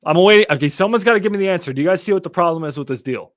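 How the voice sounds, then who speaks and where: A young man hosts a casual live stream, his voice on the high side and jumping into loud, swearing exasperation before he settles back to explaining deals. The sound is very dry and close, with no room around it. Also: racing, no echo. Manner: excitable, swears when exasperated, talks to chat. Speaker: real estate wholesaling livestream host. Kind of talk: casual livestream teaching.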